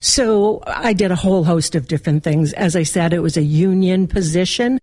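A woman's voice: lowish voice, moderate pace, a little mellow, no room sound, clean studio sound. A woman, telling the story of her working life as an interview guest. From Massachusetts.

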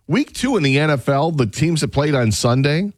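A man's voice: a little high, animated, normal speed, no echo, studio quality. A man co-hosting a sports talk show, chatting casually about football and sometimes acting out a coach. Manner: conversational, acts out coaches with a raised voice. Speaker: sports radio co-host.

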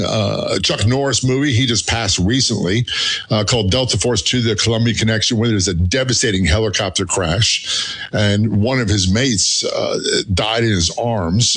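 A middle-aged man, a retired police sergeant, hosting a talk radio show in a measured, steady way. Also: medium pitch. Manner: steady, measured interviewer with frequent fillers.

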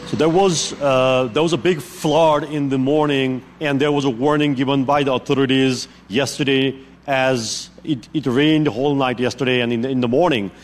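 A man's voice: medium pitch, fairly even intonation, normal speed, few pauses, slight background noise. A man reporting live for a news program, giving a careful, earnest account with frequent restarts.

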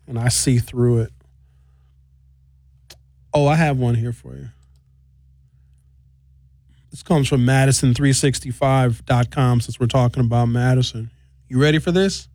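A male talk radio host with a mid-pitched voice and a dry, close sound. He is blunt and emphatic, moving between conversational commentary and reading out articles. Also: darkish tone, dry recording.

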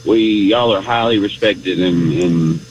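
A man's voice: low-pitched, unhurried, dull audio. A man, calling a radio show and chatting casually, repeating words as he goes. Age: 30s. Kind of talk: casual phone-in chat. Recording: noisy call into radio show.

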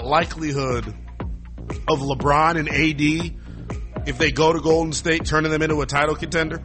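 A man hosting a sports talk radio show from a studio, joking and boasting in playful banter. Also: high-pitched. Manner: jokey, boastful, animated.

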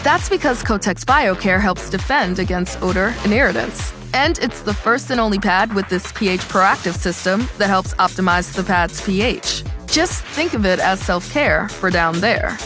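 A woman's voice: medium pitch, animated, moderate pace, few pauses. A woman reading a scripted advertisement in a conversational, girlfriend-to-girlfriend style. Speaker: advertisement voice-over. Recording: music bed clearly behind the voice.

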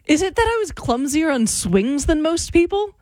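A woman's voice: high voice, very animated, normal speed, darkish tone, no room sound, clean studio sound. A woman in her thirties co-hosting a radio show, easygoing and self-deprecating in casual chat. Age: 30s. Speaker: radio co-host. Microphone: studio microphone.

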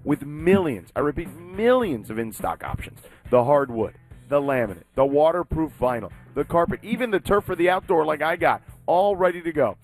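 A man hosting a sports talk show, talking with emphatic, animated delivery. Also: fairly high pitch, moderate pace, faint music behind. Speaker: sports talk radio host. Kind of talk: sports talk and live read ad.

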